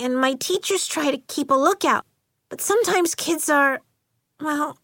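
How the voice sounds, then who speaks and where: Woman voicing a shy, high-pitched schoolgirl. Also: dry recording, clean studio sound. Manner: soft-spoken, halting, repeats words in threes. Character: shy, sad, earnest schoolgirl telling her troubles.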